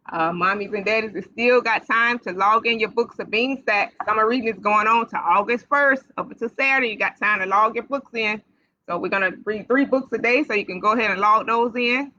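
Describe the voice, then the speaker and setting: A woman hosting storytime for young children, reading aloud in an animated, sing-song way and putting questions straight to the kids. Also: a little high. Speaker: library storytime host for children. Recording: dull, lo-fi livestream sound.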